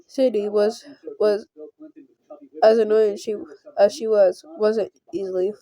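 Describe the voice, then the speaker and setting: Slow-reading, mellow woman who stumbles over words. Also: mid-range voice, steady tone, no room sound, low-quality recording. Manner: halting reading, often stumbles and repeats words. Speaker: gameplay host reading a visual novel aloud. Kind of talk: reading game text aloud with brief asides.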